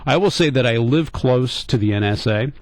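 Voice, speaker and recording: A man's voice: mid-range voice, normal speed, no echo. A middle-aged American man hosting talk radio, telling a casual story in a conversational, questioning way and repeating points for emphasis. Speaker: radio talk show host. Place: radio studio.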